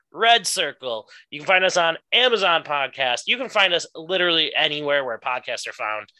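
A man hosts a podcast in an upbeat announcer manner. His voice sits fairly high and sounds bright. He reads plugs with steady energy. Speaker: podcast host. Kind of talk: casual podcast chat and outro plug.

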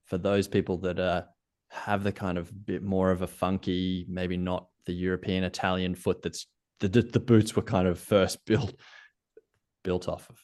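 Low-voiced Australian man talking ski gear. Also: normal speed. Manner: relaxed, thoughtful, technical about gear. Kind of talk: casual interview chat.

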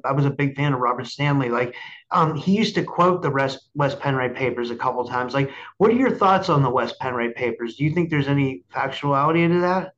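A man hosting a casual chat show, talking haltingly with repeated words and frequent checking tags. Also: medium pitch, fairly bright tone, muffled recording. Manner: halting, repetitive, frequent "like" and "right?". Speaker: show host and interviewer.

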